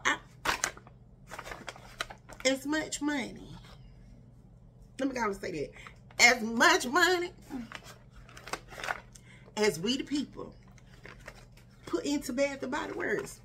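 A woman's voice: medium pitch, background noise. A woman, chatting casually to camera in her living room, talking straight to her viewers. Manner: chatty, animated, addresses viewers as y'all and girl. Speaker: vlogger. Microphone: camera in front at desk distance.

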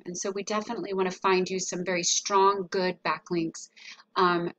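A woman presenting a website audit as a consultant, talking through a screen share in a casual, explanatory way with hesitant pauses. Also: fairly low pitch, a bit bright, low-quality recording.

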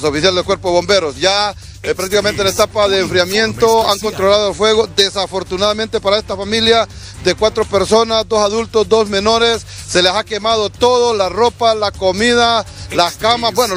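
A man's voice: sits high, fairly even intonation, fairly quick, fairly bright tone, soft background music. A man with a Honduran Spanish accent giving a live on-scene news report outdoors, urgent and restless with many fillers. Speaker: field reporter. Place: outdoors at a burned house.

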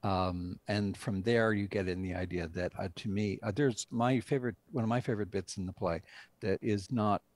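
An older man, a writer and director, deliberately explaining his work with emphasis in an interview over a video call. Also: lowish voice, steady tone, no room sound. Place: indoor room on a video call.

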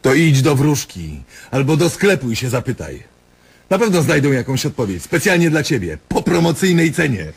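An actor playing a diabolical, sarcastic doctor who taunts his patient theatrically in a husky voice, in a radio drama. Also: medium pitch, sing-song, moderate pace. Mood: sardonic taunting.

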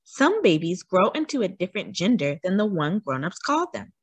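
A woman with a husky voice reading a children's picture book aloud expressively, putting questions to young listeners. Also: medium pitch, big pitch swings, a little fast, dry recording. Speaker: read-aloud storyteller for children.

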